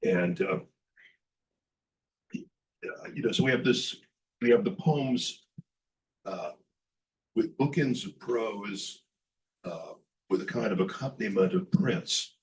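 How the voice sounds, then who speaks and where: A poet from Los Angeles speaking at a book event, halting and repeating words as he starts each thought. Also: fairly low pitch, recorded from a distance, rough recording. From Los Angeles, California. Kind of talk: book event talk and reading.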